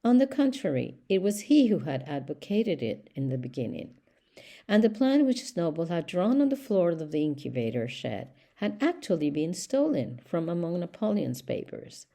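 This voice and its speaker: Woman narrating an audiobook, deep for a lady, mellow tone, measured delivery. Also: very animated. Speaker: audiobook reader. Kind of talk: scripted storybook narration.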